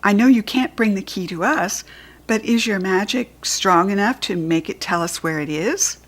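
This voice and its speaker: A woman's voice: medium pitch, moderate pace, close to an everyday voice. A woman with an American accent voicing an eager, curious young girl in a children's story reading.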